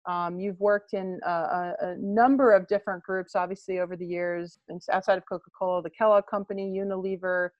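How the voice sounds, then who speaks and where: A woman's voice: on the low side, fairly even intonation, brisk, hardly any pauses, no room sound, highs cut off. A woman hosting a podcast interview, chatty and loose, leaning on fillers as she frames her questions. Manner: chatty, many fillers and "obviously". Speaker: podcast host.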